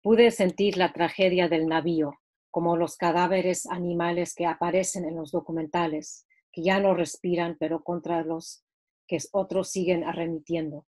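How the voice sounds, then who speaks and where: A woman reading a passage of her own prose aloud at home over a video call. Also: on the low side. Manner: steady, measured reading. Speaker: writer reading from her book.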